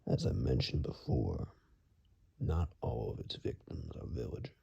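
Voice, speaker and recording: A man playing a weary, rugged monster hunter in an ASMR roleplay, grave and halting, in a gravelly, breathy near-whisper. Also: rumbling low, huge pitch swings, warm tone, muffled recording, heavily acted. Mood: grave, warning.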